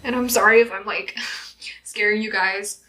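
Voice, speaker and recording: A woman's voice: medium pitch, fairly even intonation, normal speed, sharp tone, poor audio. A woman in her twenties from Vietnam, chatting casually while getting ready at home, laughing often and filling her speech with "like" and "um". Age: 20s. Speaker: YouTuber. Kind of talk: casual get-ready-with-me chat. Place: indoors at home in a city, doing makeup.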